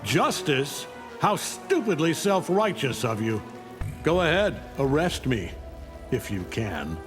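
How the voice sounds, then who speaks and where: A man's voice: fairly high pitch, very animated, slow. A man playing an arrogant, stern middle-aged alien warlord in a video game, haughty, taunting and menacing. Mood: haughty contempt. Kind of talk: video game fight-intro acting. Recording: loud music behind the voice.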